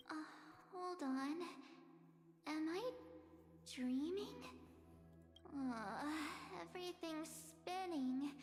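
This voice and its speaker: Echoey game audio, bubbly teen girl hero, super high voice, kinda flustered and playful. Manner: hesitant, exclamatory, playful. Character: bubbly, confused teenage girl adventurer. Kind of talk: animated video game voice acting. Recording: echoey, reverberant game audio with faint music.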